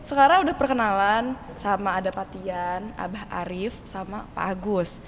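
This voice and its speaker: A woman hosting a casual, playful interview chat, often echoing back what her guests say. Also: mid-range voice. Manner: playful, quick to echo answers. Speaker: host. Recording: noisy, long echoey reverb.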